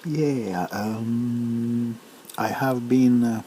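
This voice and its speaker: A man rambling casually for an ASMR video, with a halting, unhurried, hesitant way of talking. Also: medium pitch, fairly even intonation, dark tone, a little hiss or hum.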